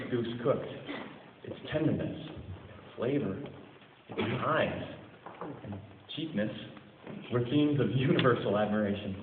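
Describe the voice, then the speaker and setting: A man giving a dramatic storybook reading in a theatrical, phrase-by-phrase delivery. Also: medium pitch. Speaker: story reader. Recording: tinny, noisy, echoey hall recording.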